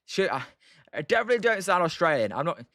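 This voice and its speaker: A man's voice: sits high, sing-song, quick pace, pushed and bright, no echo, clean studio sound. A young British man hosting a live stream, giving casual game commentary between chat replies, rambling with lots of ums and uhs. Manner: rambling, hesitant, heavy on um and uh.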